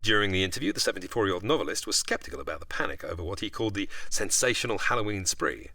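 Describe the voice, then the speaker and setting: Male guest expert, articulate storyteller. Low-pitched but lively, with a dry, close sound. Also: very fast, vocal fry, no echo. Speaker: guest expert explaining history. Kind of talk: explanatory interview, largely prepared narration.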